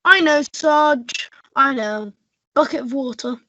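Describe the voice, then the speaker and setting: A woman's voice: high voice, takes it slowly, pushed and bright, dry recording, lo-fi sound, exaggerated voice. A woman playing an eager, thuggish, childlike male police constable who whines, in a radio melodrama. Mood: sulky, apologetic. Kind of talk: melodramatic radio play acting.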